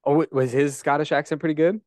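Chatty American host, Great Plains accent. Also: male voice, fairly high pitch, fairly even intonation, fast talker, darkish tone, no room sound. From United States. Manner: curious, chatty, many restarts. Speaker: podcast host. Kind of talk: casual interview chat. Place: bright room at home. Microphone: handheld dynamic mic close up.

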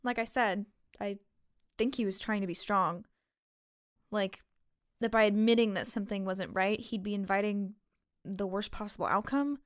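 A woman acts out a young waitress in a fiction podcast who keeps her guard up over her grief. She talks plainly and haltingly at a medium pitch, weary and a little defensive, in a very dry recording. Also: fairly even intonation, dry recording, natural voice. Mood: subdued, explaining. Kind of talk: fiction podcast drama acting.

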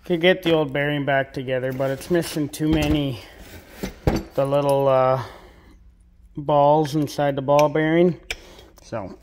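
A man's voice: a little high, steady tone, a little slow, pauses often, darkish tone, a little hiss or hum. A young man vlogging from his farm workshop, narrating casually in a laid-back, rambling way with plenty of fillers. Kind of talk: casual narration while working.

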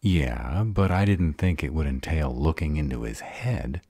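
A man's voice: a bass voice, animated, unhurried, vocal fry, warm tone, husky, dry recording, studio quality, exaggerated voice. A man playing a sarcastic bad-boy vampire in an audio roleplay drama, drawling, guarded but devoted. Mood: sardonic.

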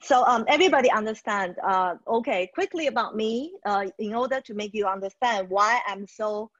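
A woman from Taiwan speaks over a video call as an HR trainer in a webinar, pausing often with fillers and restarting her sentences. The sound is dry and close, with dull, muffled highs. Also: fairly bright tone, no room sound. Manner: hesitant, many fillers and restarts. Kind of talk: webinar presentation.

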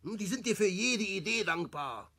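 An actor playing a brash, impatient small-time crook with a Berlin accent in a 1960s German radio play, mocking and exasperated. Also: male voice, high voice, lively intonation, normal speed, gravelly, pushed and bright, heavily acted. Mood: scornful.

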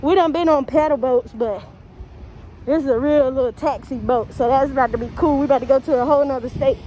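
A woman's voice: high-pitched, fast talker, dark tone. A young American woman chatting casually and slangily to viewers as she vlogs, her voice slightly muffled by a face mask. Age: young adult. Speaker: vlogger. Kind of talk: casual vlog chat to viewers. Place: outdoors, walking on city streets and waterfront. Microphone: phone camera held at arm's length. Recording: dull phone audio, outdoor street noise.

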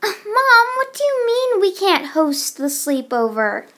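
A woman's voice: high-pitched, slow pace. A young woman voicing casual, dramatic girls planning a sleepover in an amateur doll movie. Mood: exasperated. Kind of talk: amateur doll stop-motion voice acting.

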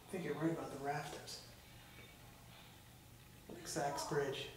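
A man's voice: fairly high pitch, little pitch movement, measured pace. A man in his thirties, a paranormal investigator, chatting casually in a small room. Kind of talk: casual chat. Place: small dark room indoors. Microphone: camera mic across the room. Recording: very echoey room, clear noise.

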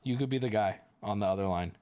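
A man hosting a livestream, chatting casually and teasing, with loud joking outbursts. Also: lowish voice, fast, clear, resonant tone, muffled recording. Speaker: livestream host. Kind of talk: casual stream chat.

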